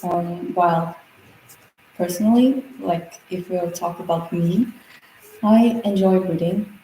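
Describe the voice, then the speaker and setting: A woman's voice: on the low side, slow pace, dark tone. A teenage girl from Kazakhstan, a native Kazakh speaker, giving fluent, composed answers at a speaking exam. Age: teens. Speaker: exam candidate. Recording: echoey room with faint noise.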